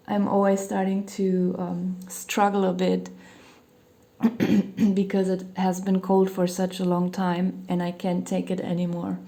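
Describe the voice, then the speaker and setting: Slightly hoarse woman reading tarot casually. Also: mid-range voice, mellow. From Germany. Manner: meandering, hedging, many "you know" fillers. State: losing her voice, slightly hoarse. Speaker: tarot reader. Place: home near a hospital, sirens outside.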